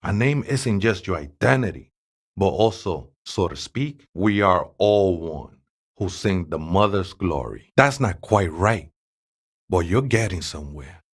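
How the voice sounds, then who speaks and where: A man's voice: low voice, expressive, measured pace, husky, exaggerated voice. An actor playing a serene, devout ex-gang man with a Mexican accent who talks in laid-back, reverent street slang for a video game. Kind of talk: video game character dialogue.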